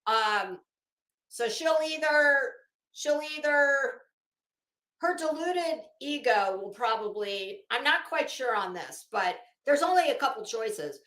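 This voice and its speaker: Middle-aged female commentator, high-pitched, sarcastic and dramatic, casual delivery, recorded in a roomy space. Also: lively intonation, pushed and bright. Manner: sassy, sarcastic, dramatic emphasis with drawn-out words. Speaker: host of an online commentary show. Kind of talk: casual, opinionated commentary to camera. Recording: roomy, echoing sound.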